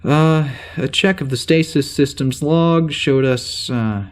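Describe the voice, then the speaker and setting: An actor playing a troubled starship officer with amnesia, halting and rambling as he records a log in a naturalistic audio drama. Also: on the high side. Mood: subdued, frustrated. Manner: halting, rambling, self-interrupting.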